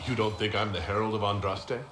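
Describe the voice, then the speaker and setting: An actor playing a calm, direct, questioning male hero in a fantasy video game. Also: on the low side, fairly quick, lightly acted. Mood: calm, probing. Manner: direct, questioning, level-headed. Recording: roomy echo, clear background noise.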